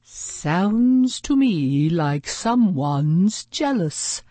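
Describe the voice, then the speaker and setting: Woman as an impatient big storybook bird, animated. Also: mid-range voice, drawn-out words, dry recording, exaggerated voice. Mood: wry teasing. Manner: exasperated, wry. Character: impatient, teasing big winged male bird.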